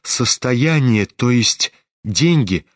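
A man voicing a timid, gentle young nobleman in a storybook reading, speaking softly and hesitantly. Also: fairly low pitch, unhurried, no room sound. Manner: soft, hesitant.

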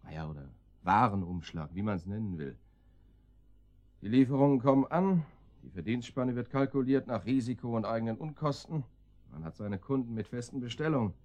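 An actor playing a laconic, dryly ironic gangster guard in a German radio drama, gruffly giving orders. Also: male voice, medium pitch, animated, a little fast, darkish tone, close to an everyday voice. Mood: matter-of-fact. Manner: laconic, dry, gruffly commanding.

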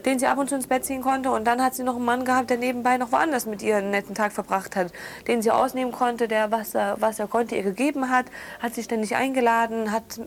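An actress playing a bitter, scornful daughter in a naturalistic audio drama, emphatic and accusatory in long run-on phrases. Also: female voice, fairly high pitch, fairly even intonation, quick pace, hardly any pauses, close to an everyday voice. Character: bitter, scornful daughter condemning her father's lover.

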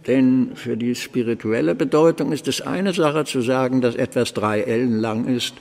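A medieval churchman and scholar acted by a man lectures in a radio play, pious and pedantic. His voice is gravelly and rings in a long reverb, each point stressed with deliberate care. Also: mid-range voice, a little mellow, close to an everyday voice. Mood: solemn, lecturing. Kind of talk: German radio drama acting.

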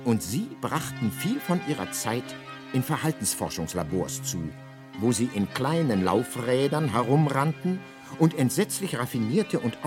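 A wry, omniscient radio-play narrator. His intonation moves freely while the irony stays dry and deliberate. Music plays clearly behind him. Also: medium pitch. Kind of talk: scripted radio drama narration.

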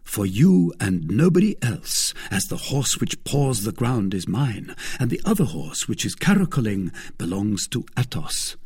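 A man's voice: fairly low pitch, very animated, unhurried, few pauses, darkish tone, slightly rough, exaggerated voice. A man voicing a confident, generous young soldier in a storybook, breezy and teasing. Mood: generous, pleased. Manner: breezy, bantering, assured. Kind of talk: storybook character dialogue.

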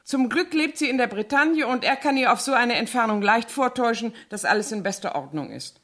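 Fairly high-voiced gossipy charwoman. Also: expressive, fairly quick, fairly bright tone. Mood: chatty gossip. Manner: chatty, matter-of-fact tattling. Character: gossipy, falsely naive cleaning woman. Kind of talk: 1970s radio play acting.

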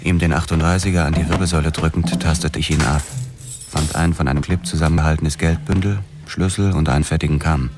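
Brief: hard-boiled cop narrator, extremely low voice, terse and weary, noir radio drama over music. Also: male voice, fairly even intonation, fast talker. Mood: terse, controlled.

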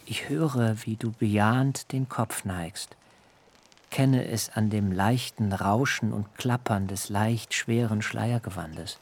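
An actor playing Jakob, a young bridegroom, telling of his wedding night in a measured, hushed, intimate radio-drama reading. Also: on the low side, breathy edge, full character voice. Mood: tender, hushed. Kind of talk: literary radio drama, first-person narration.

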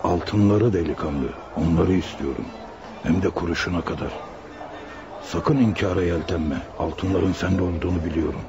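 A man's voice: low-pitched, moderate pace, background noise, close to an everyday voice. An actor playing a bullying, hot-tempered male gang boss in a radio play, taunting and giving orders. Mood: quiet menace. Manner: taunting, commanding. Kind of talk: Turkish radio theater acting. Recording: dull lo-fi radio recording.

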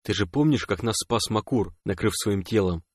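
A man voicing a calm, reassuring young man who speaks in a hushed near-whisper, in an audiobook story. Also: fairly low pitch, moderate pace, slightly rough, dry recording, studio quality. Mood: hushed, cautious. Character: calm, joking young male lover, reassuring.

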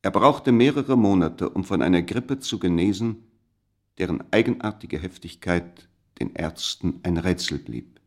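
Serious storyteller for a radio play, really low voice, measured scripted narration. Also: male voice, expressive, a little mellow, exaggerated voice. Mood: measured, sombre. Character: grave, measured male storyteller of a mystery tale.

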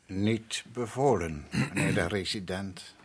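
An actor playing a proud island head priest in a radio drama, courteous and measured yet stubborn, with a husky voice. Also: male voice, deep voice, heavily acted. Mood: evasive, deferential. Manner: measured, courteous, evasive, insistent.

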